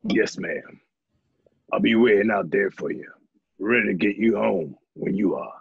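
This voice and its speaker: A man's voice: on the low side, a little slow, vocal fry. A man playing a deferential servant in a western radio drama. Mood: obliging. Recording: tinny phone-like line, noisy.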